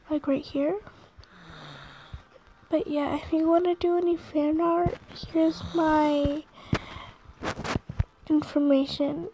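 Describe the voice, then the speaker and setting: A young girl who is sick and losing her voice chats haltingly while showing a drawing on her phone at home. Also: sits high, noisy background. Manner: rambling, halting, with throat clearing. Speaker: drawing app vlogger. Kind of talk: casual screen-recorded chat. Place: bedroom at home, brother snoring nearby.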